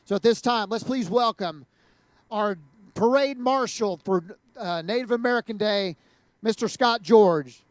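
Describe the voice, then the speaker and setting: A man's voice: high-pitched, unhurried, no echo. A man hosting an event, introducing a guest in a conversational way with frequent fillers. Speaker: event host.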